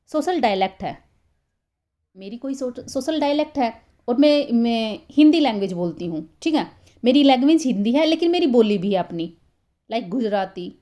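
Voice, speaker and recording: A woman's voice: a little high, mellow. A woman teaching a lecture, in a conversational way that repeats points and keeps checking with listeners. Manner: conversational, repeats herself, many fillers and checking questions. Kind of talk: explaining literary theory in a recorded lecture.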